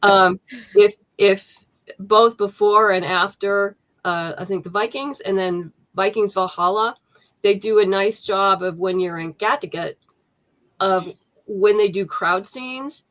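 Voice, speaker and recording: A woman's voice: mid-range voice, unhurried, a bit bright. A woman writer being interviewed, talking casually with lots of fillers and restarts. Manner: chatty, many fillers and restarts, laughs easily. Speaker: comic book writer, guest.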